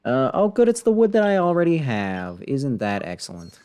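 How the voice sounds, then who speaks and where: A man's voice: medium pitch, huge pitch swings, normal speed, darkish tone, clean tone. A man giving casual live commentary as a game streamer, rambling and muttering to himself with playful sarcasm.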